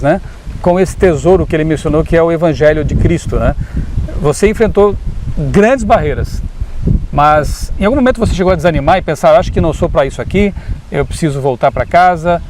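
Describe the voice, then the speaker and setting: A man's voice: on the high side, darkish tone, a little hiss or hum. A man interviewing a guest, reflecting thoughtfully and often adding "né?".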